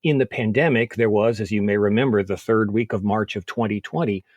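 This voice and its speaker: A man with a lowish, husky voice speaks as a medical expert. He lays out his explanation point by point in a measured way, and the recording is dry with very little room sound. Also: darkish tone, no room sound. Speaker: physician, expert interview guest.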